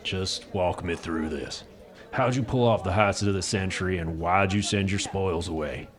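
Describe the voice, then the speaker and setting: A man's voice: deep voice, moderate pace, natural voice. An actor playing a shrewd, wary smuggler in an audio drama, blunt and folksy in his talk. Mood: wary, probing. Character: gruff, wary, shrewd male smuggler with stubble and moustache.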